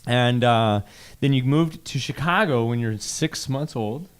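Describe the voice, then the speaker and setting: A man's voice: mid-range voice, a little slow, smooth. A man hosting an interview, who keeps summing up his guest's answers before asking the next question. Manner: recaps answers, leads with "okay, so". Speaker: host. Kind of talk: casual interview chat.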